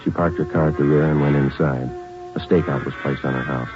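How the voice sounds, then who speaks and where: A man playing a calm, methodical American police sergeant in a radio drama, speaking in a clipped, understated way. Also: very deep voice, fairly quick. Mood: matter-of-fact. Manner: clipped, matter-of-fact, understated. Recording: muffled old radio recording with music.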